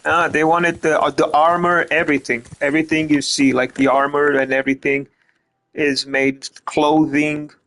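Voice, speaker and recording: A man's voice: a little high, level tone, moderate pace, a bit bright, poor audio. A man from Romania, giving casual gameplay commentary as a streamer, rambling, stumbling over repeated words and swearing now and then. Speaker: gaming streamer.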